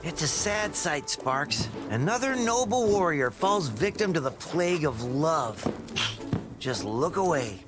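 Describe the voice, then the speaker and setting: A man's voice: on the high side, big pitch swings, unhurried, over loud music. A man voicing a young, brave male dragon hero in a video game cutscene, easygoing and wry.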